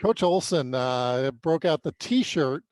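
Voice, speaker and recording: A man's voice: a little high, lively intonation, takes it slowly, darkish tone, no room sound, clean studio sound. A man hosting a sports podcast, casually setting up and asking interview questions.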